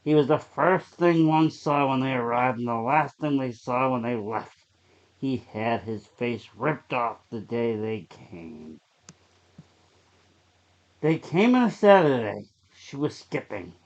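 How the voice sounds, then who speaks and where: A narrator reads a horror short story from a script, in a voice of middling pitch that sits between typical male and female ranges. The recording is a little dull and lo-fi. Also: animated, takes it slowly.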